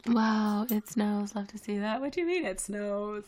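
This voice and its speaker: A woman's voice: mid-range voice, normal speed, darkish tone, a little hiss or hum. A young woman whose first language is French, chatting casually on a live stream from her living room, rambling with lots of fillers. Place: living room at home, indoors. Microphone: headset with webcam.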